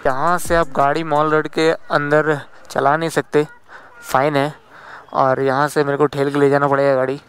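A man's voice: fairly high pitch, flat delivery, moderate pace. A young man casually guiding viewers through a busy night market, chatting and pointing out directions as he goes. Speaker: travel vlogger. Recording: background music over loud crowd. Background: crowd noise with music.

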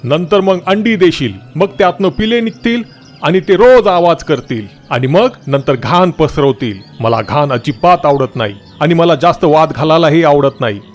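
A man's voice: on the high side, normal speed, soft background music, lightly acted. Man voicing a stern, emphatic talking tree. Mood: stern, scolding. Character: talking tree, stern and gruff, secretly kind protector. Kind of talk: children's moral story cartoon voicing.

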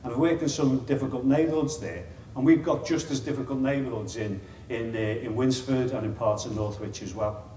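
A man's voice: mid-range voice, steady tone, fairly quick, hardly any pauses, warm tone. A middle-aged man with a Liverpool accent talks to a meeting room full of people, chatty and wry. His voice sounds roomy and a bit distant from the mic, with a faint hiss underneath. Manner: chatty, self-deprecating, wry asides. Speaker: chief executive giving a conference talk. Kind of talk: informal presentation to an audience. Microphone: lapel mic.

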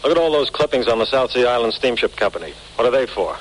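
A man's voice: medium pitch, lively intonation, quick pace, natural voice. An actor playing a suspicious, blunt male police detective in 1940s radio drama style. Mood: suspicious, needling. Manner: blunt, terse, needling. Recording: faintly noisy 1940s radio recording.

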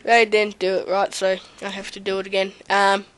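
A young woman with a slight cold, slowly touring her game server with many pauses and fillers. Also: medium pitch, monotone, fairly bright tone, smooth, dry recording, rough recording. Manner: hesitant, many ums, slow and rambling. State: slight cold, runny nose and cough. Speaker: server owner giving a tour. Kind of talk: casual gameplay commentary. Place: home room at a computer. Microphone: desk mic near the keyboard, typing audible.

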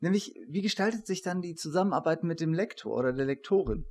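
A man's voice: a little high, animated, quick pace, a little mellow. A man in his forties hosting an interview in a conversational style at a desk microphone. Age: 40s. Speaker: host, professor. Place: indoor room, on a video call.

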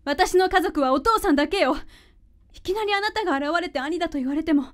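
A woman's voice: extremely high pitch, brisk. A woman playing a guarded, fragile and distraught young woman in a Japanese radio drama. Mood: disbelieving.

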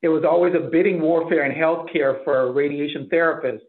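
Male executive, middle-aged, from the Bahamas, slightly high pitch, interview answers, thin phone-like call audio. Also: fairly even intonation, fairly quick. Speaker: hospital chief executive being interviewed. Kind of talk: interview answers about career. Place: office, indoors. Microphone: computer mic on a video call.

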